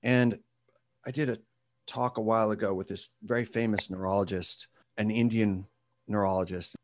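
A man as an interview guest and author, answering with lively storytelling and speaking to a group. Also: fairly low pitch, moderate pace.

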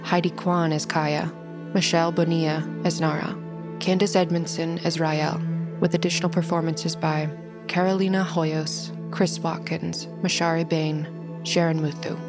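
Low, flat-voiced woman reading credits. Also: normal speed. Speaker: credits announcer. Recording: music behind.

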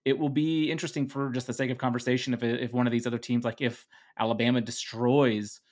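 A man from the Netherlands whose first language is Dutch, speaking English with a Dutch accent as a podcast co-host in a relaxed sports chat, laughing easily and often restarting his sentences. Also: mid-range voice, fast.

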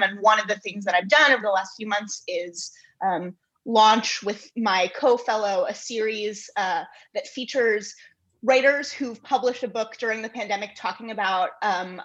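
Husky, higher-pitched woman, slightly muffled. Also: a bit bright. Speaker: guest writer, poet and essayist. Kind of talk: thoughtful unscripted interview answers.

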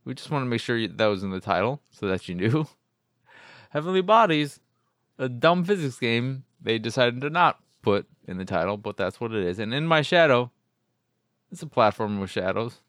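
A man's voice: mid-range voice, big pitch swings, moderate pace, a bit bright, dry recording, studio quality. A man hosting a casual solo video game podcast, rambling and jokey, often interrupting himself and repeating words for emphasis. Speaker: podcast host. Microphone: close podcast microphone in a dry space.

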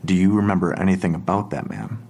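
Gravelly, low-voiced male investigator. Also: mellow. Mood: measured, steady. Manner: terse, measured, matter-of-fact questioning. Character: blunt, skeptical male investigator taking a confession. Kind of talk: naturalistic audio drama acting.

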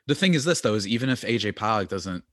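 A man co-hosting a podcast in casual chat, animated and talkative, often laughing. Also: fairly low pitch, fast talker, no echo, studio quality. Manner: animated, chatty, laughs often, many "like" fillers. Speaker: podcast co-host.

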